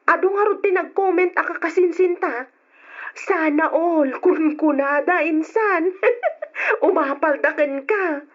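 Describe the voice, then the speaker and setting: A woman's voice: extremely high pitch, slow. A woman playing a chatty, teasing, nosy young cousin in a radio drama, with an Ilocano accent. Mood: gossipy, teasing. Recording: muffled old radio drama.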